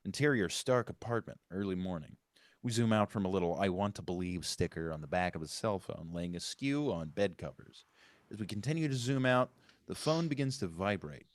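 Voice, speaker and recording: A man's voice: sits low, animated, brisk, no echo. A man reading stage directions as scripted narration at a live table read, occasionally correcting himself.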